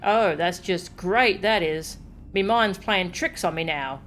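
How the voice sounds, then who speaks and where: A woman's voice: fairly low pitch, takes it slowly, a bit bright. A woman voicing a bitter, self-pitying male craftsman in a video game, grumbling in crude colloquial speech. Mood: bitter, despairing. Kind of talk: reading video game character dialogue. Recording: game music behind the voice.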